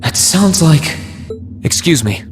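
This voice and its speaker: A man's voice: a little high, expressive, slow, faint music behind. An actor playing a polite, earnest and cautious young man in a video game, speaking a little hesitantly. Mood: cautious, reserved.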